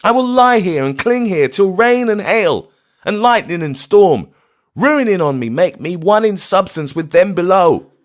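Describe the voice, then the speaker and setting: A shrieking grief-mad old astronomer. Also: male voice, on the high side, big pitch swings, a little slow, pitched above the performer's own voice. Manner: shrieking, bitter, anguished outcries. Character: grief-maddened old male astronomer, raving.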